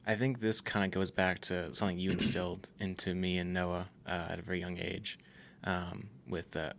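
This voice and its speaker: A man's voice: sits low, little pitch movement, normal speed, creaky, pushed and bright. A young man co-hosting a podcast, speaking earnestly and thoughtfully about faith and habits, with frequent fillers. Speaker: podcast co-host. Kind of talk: casual faith talk.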